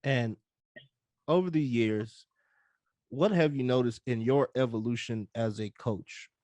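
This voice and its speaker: An energetic man who talks loudly and with a lot of hype, hosting a casual podcast chat. His voice is close and dry, with no room sound. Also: mid-range voice, unhurried, no room sound. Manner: loud, hyped, energetic. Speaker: podcast host, boxing coach.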